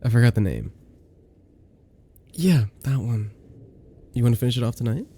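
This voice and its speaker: A man playing an affectionate, teasing boyfriend in an intimate ASMR roleplay, with a soft, playful, drawn-out delivery. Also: medium pitch, lively intonation, breathy edge. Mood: warm, cozy. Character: affectionate, teasing new boyfriend cuddling his girlfriend.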